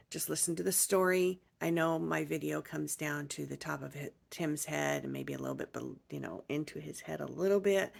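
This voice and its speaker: A woman chatting casually to viewers as a vlogger, halting and informal as she introduces a clip. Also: low voice, gravelly.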